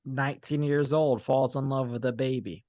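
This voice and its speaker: A man with a medium-pitched voice talks as a podcast co-host. His manner is wry and joking, with offhand swearing. The recording is dry and free of room sound. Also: steady tone, moderate pace, warm tone, no room sound. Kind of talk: casual joking chat.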